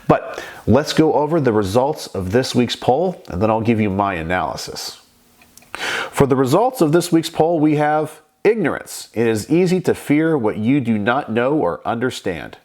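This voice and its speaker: A man hosting his own channel, talking casually to the camera, a bit raspy and stuffed up from a sinus infection, measured and explanatory. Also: mid-range voice, big pitch swings, a little mellow. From Texas. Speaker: channel host.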